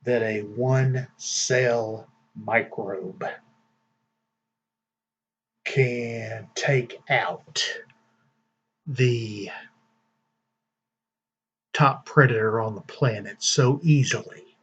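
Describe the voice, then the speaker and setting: Man, vlog host, slow unhurried talk, muffled lo-fi sound. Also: medium pitch, fairly even intonation, a bit bright, husky. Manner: slow, deliberate, with many fillers and long pauses. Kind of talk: casual news commentary monologue.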